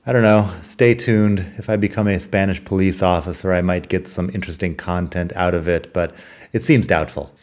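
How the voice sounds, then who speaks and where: A man's voice: deep voice, fairly even intonation, normal speed, a little mellow. A middle-aged American man hosting a podcast, reading his own scripted personal essay in a wry, self-deprecating, reflective way. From United States. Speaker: podcast host.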